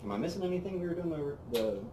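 A young man hosting a whiskey review, chatting casually on an outdoor patio, relaxed and rambling with plenty of fillers. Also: a little high, racing, background noise. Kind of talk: casual tasting chat. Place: outdoor patio by a brick wall. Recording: roomy outdoor sound with faint ambience.

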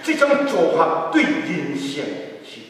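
A man's voice: high voice, takes it slowly. Older male pastor preaching in Taiwanese Hokkien. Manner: animated preaching with gestures, mixing in English terms. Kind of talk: sermon. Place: church sanctuary, at a pulpit. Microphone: pulpit mic, camera from a distance. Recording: echoey church hall, heard from far off.

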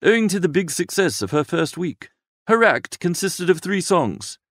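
A man reading a first-person literary story aloud as an expressive narrator. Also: high voice, moderate pace, no echo, studio quality. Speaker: audiobook narrator.

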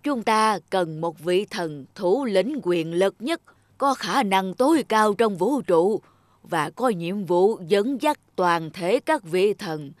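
A woman voicing a solemn elderly male god, a formal and measured referee in a cartoon. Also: mid-range voice, expressive, no echo. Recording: music under the voice.

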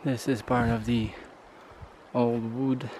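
A young man with a Polish accent talks slowly in a medium-pitched voice, narrating casually from behind a handheld camera. His delivery is dry and playful. The camera picks up faint noise and ambience around him. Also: little pitch movement, darkish tone. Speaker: vlogger filming a hiking trip. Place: outdoors on trails and inside wooden huts. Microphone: handheld camera, speaker behind it.

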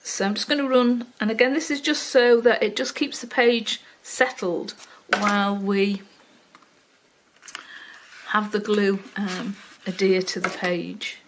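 Older woman, Wirral accent, rambling friendly craft-tutorial talk with plenty of ums, mid pitch, slight background hiss. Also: steady tone, measured pace, rough recording. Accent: Wirral, mix of Welsh and Liverpool. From Wales. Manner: chatty, many ums, talks to herself while working. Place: home craft table, indoors. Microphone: overhead camera, hands only.

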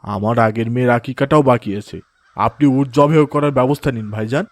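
A man's voice: medium pitch, fairly quick, no echo, natural voice. A man voicing an adult father in a cartoon, dejected and easily swayed, with a hesitant, earnest manner. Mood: resolved. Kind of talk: cartoon voice acting in moral story.

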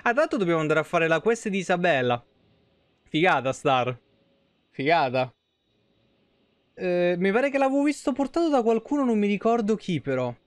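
A man in his thirties with a smooth, clear voice that sits a little high. He streams games live in a casual, informal way, swearing freely as he talks to chat. The sound is close and dry. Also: sing-song, moderate pace, long pauses, dry recording.

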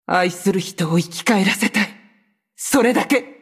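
A proud sorceress of a cursed clan in a video game. Her voice is husky and breathy, and she speaks slowly, with cold, haughty menace. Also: medium pitch. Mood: wistful, grieving. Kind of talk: video game character voice lines in Japanese.

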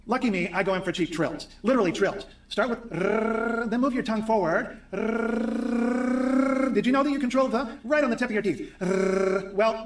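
Man presenting a pronunciation lesson, playful, higher voice, echoey room replayed from afar. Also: recorded from a distance. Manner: playful, demonstrates sounds slowly. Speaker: video presenter. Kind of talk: explaining pronunciation. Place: room with bookshelves.

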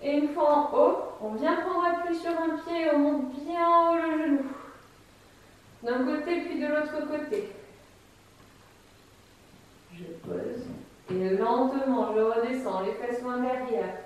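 Woman in her thirties, fitness instructor, calm step-by-step cues, high voice, big echoey room. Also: slow, long pauses. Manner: calm, step-by-step cueing while demonstrating. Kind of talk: guided chair exercise class. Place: bright empty room with wooden floor. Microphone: camera mic from a distance. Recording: echoey room, voice far from mic.

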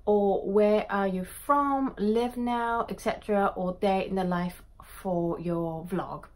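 A woman's voice: mid-range voice, steady tone, slow pace, darkish tone. A woman in her thirties, born in Japan, answering viewer questions casually to camera in her home art studio, reading each question aloud before a candid reply. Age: 30s. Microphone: camera in front at desk distance.

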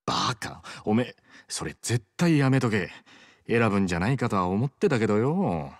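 A male actor voicing a cocky, teasing, loyal young yakuza in a Japanese video game, talking in rough slang. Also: lowish voice, no room sound, clean studio sound, lightly acted. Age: young adult.

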